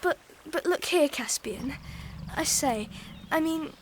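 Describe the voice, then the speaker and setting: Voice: high voice, animated, slow, noisy background. A performer playing an earnest, awkward schoolboy in a radio drama, hesitant, stammering and easily astonished. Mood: flustered.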